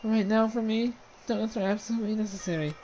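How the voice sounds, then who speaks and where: A man reading a visual novel aloud with chatty asides; his voice is dry and scratchy today, and he gets excitable and trips over his words. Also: high voice, quick pace, faint music behind. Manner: excitable, talks over himself with restarts. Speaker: let's play reader voicing a visual novel.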